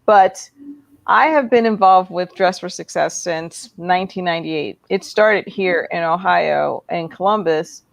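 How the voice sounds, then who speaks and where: A woman's voice: on the low side, unhurried, creaky, dry recording. A woman hosting a casual live interview, chatty and enthusiastic, with lots of fillers. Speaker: host.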